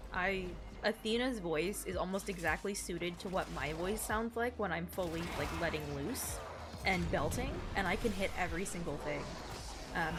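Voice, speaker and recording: Casting: female game streamer, relatively deep voice, casual, rambling and playful, talking over clearly audible music. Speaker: video game live streamer. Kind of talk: casual chat with gamers and viewers, bursts of singing. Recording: music behind the voice.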